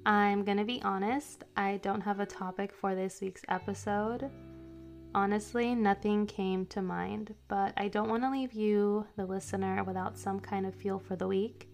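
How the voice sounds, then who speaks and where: A woman hosting her own reflective podcast, congested from a cold and speaking slowly and gently as she reads her own poetry. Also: medium pitch, fairly even intonation, gravelly, faint music behind. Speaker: podcast host.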